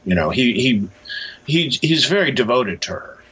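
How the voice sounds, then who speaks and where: A man's voice: medium pitch, very animated, moderate pace, pushed and bright, slightly rough. A man being interviewed as an author, reflecting in a hesitant, thoughtful way as he talks. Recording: dull, lo-fi sound.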